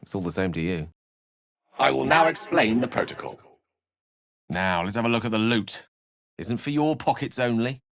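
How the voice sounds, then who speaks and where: A man's voice: medium pitch, lively intonation, normal speed, vocal fry, long pauses, a bit bright, dry recording. A man playing an erudite, witty wizard in a fantasy video game, speaking with eloquent, wry and courteous theatricality. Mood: wry, amused.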